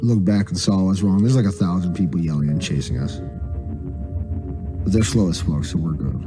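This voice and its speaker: A man with a low-pitched voice narrates a story as spoken word, with music clearly playing behind him. His delivery is casual and slangy, with plenty of swearing. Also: fairly quick.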